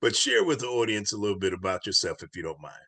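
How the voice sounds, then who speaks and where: A man's voice: on the low side, very animated, fast, gravelly, a bit bright, slightly rough, no echo. A man hosting an inspirational podcast, speaking in an earnest, encouraging, preacher-like way. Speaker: podcast host.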